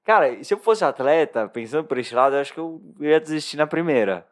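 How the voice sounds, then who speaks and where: A man's voice: a little high, quick pace. A man co-hosting a podcast who chats casually, joking and putting himself down. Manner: joking, self-deprecating, animated reactions. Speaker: podcast co-host. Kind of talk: casual chat.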